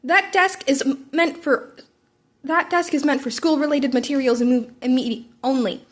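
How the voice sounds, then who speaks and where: A woman's voice: high voice, fairly even intonation, moderate pace, pitched above the performer's own voice, close to an everyday voice. A woman reading the part of an indignant, rule-bound schoolboy in formal, pompous language.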